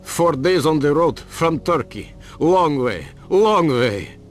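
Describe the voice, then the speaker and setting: Scared out of his mind trucker, game cutscene, high voice jumping all over, slow rambling. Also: animated, soft background music. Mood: haunted, dazed. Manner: panicky, muttering, repetitive. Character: terrified male truck driver, raving, half-mad with fear. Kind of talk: video game cutscene acting.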